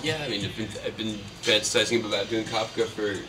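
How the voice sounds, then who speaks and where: A man chatting casually and haltingly in an interview as the creator of a puppet show. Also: fairly low pitch, level tone. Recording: distant mic, music in background.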